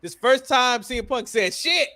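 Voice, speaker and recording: Man, high voice, podcast host, opinionated recap chat, blunt, emphatic, salty language. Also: animated, moderate pace, pushed and bright. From United States.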